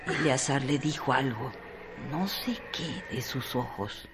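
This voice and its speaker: A woman's voice: very deep voice, slow pace. A woman, reading scripted narration for a radio drama. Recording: clearly noisy radio drama track.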